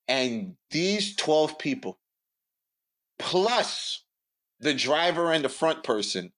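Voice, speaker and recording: A man's voice: mid-range voice, fairly bright tone. Blunt young New man telling stories. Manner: blunt, storytelling, builds up jokes. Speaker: podcast co-host. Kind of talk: casual storytelling chat.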